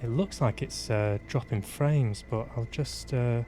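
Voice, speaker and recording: A male game streamer chats casually in a mid-pitched voice. He mutters to himself and reads on-screen prompts aloud. Faint game music plays behind him. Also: measured pace, warm tone. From Manchester, UK.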